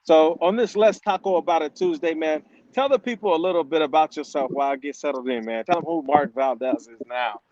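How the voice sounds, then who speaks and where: A man's voice: fairly high pitch, brisk, darkish tone, highs cut off. A man hosting a casual interview, laid-back and slangy. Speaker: host. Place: outdoors on a city walk.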